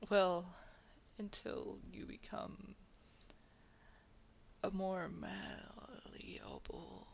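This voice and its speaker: A woman voicing a haughty, menacing old vampire in a roleplay audio drama, breathy and gravelly, drawing out words with soft condescension. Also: medium pitch, slight background noise, exaggerated voice. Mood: menacing soothing. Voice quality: breathy whisper with gravelly creak. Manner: slow, drawn-out, condescending, softly threatening. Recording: dull, lo-fi home microphone.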